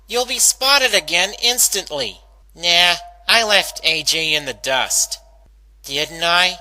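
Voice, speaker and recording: A man's voice: sits high, sharp tone, pitched above the performer's own voice, heavily acted. A man voicing an anxious young female cartoon pegasus pony, hesitantly arguing with herself in a dramatic story reading. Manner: hesitant, self-arguing, dramatic inner monologue. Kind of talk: dramatic fan-fiction reading.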